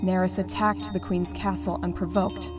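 A woman playing a stern, solemn merfolk ruler in a comic dub, speaking in a measured, speechmaking way. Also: fairly low pitch, flat delivery, far from the mic, over music, natural voice.

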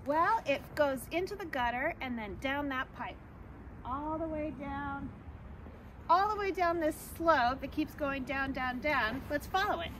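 A woman giving an animated, instructive explainer to camera out in a yard. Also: high-pitched, a little slow. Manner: animated, instructive, gesturing as she walks. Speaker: rain garden educator, presenter. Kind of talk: outdoor educational explainer to camera. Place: snowy residential yard outdoors. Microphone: camera several metres away. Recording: distant mic outdoors, loud ambient noise.

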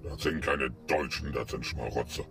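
Very deep bright-toned man. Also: steady tone, normal speed. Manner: agreeing, complaining. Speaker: comedy sketch partner. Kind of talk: ranting comedy dialogue. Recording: faint music behind voice. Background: music.

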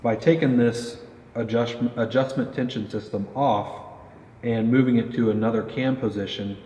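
A man's voice: mid-range voice, steady tone, moderate pace, warm tone. Man explaining gear in a roomy range. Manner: casual, many fillers, explaining gear. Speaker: company pro staff interviewer. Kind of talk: product interview. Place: indoor archery range. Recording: roomy echo, dull, faint noise.

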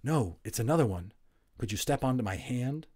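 A man voicing an eager young boy in a storybook reading, speaking in a hurried, urgent way. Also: mid-range voice, lively intonation, darkish tone, husky.

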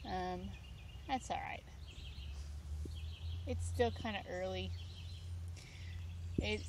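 Woman, 30s, casual garden vlog, slow unhurried talk, noisy outdoor phone audio. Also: fairly low pitch. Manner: rambling, frequent ums, thinking aloud. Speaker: homesteader giving a garden tour. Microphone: handheld phone camera. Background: birds and outdoor nature sounds.

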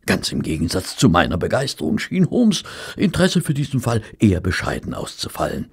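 A genteel, earnest gentleman in a radio play. His low voice is very husky and creaky, polite and a little hesitant. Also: huge pitch swings, a little fast, heavily acted. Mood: reflective. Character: genteel, easily flustered male companion of a detective. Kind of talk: German audio drama acting and narration.

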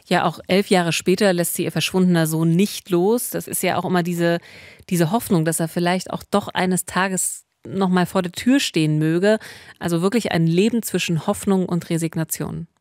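A woman's voice: fairly low pitch, fairly even intonation, normal speed, darkish tone, no echo, clean studio sound. A woman in her thirties co-hosting a true-crime podcast in a conversational storytelling style. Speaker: podcast co-host. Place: small recording studio. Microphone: close studio mic with pop filter.

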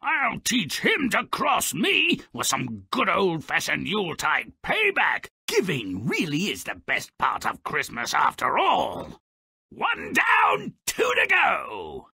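A man voicing a mischievous, grumpy little creature as a sardonic video game narrator, with a gravelly, raspy voice and a mocking, grandiloquent manner. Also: high voice, wildly swooping pitch, slow pace, bright, forceful tone, no room sound, shouting, studio quality, full character voice. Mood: gleeful. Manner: mocking, grandiloquent, relishing misfortune.

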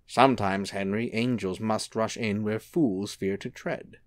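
An actor playing a charming, unruffled angel in a radio-style drama, gently teasing as he talks. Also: on the low side, steady tone, measured pace, no room sound, clean studio sound, lightly acted. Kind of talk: radio-play style acting.

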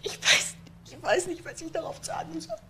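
A reticent young woman of twenty in a crime radio drama. She answers tersely and quietly in a tense, bright voice, with faint noise around her. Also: extremely high pitch, very animated, normal speed, heavily acted. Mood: shaken, reluctant. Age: young adult. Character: reticent, shaken twenty-year-old female seamstress.

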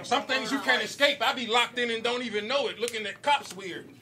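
A man's voice: very high voice, brisk. A man performing a rap battle with punchy, rhythmic delivery, chuckling now and then between his bars. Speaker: battle rapper. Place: indoor basement battle venue with crowd. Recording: loud live crowd recording. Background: crowd cheering and shouting.

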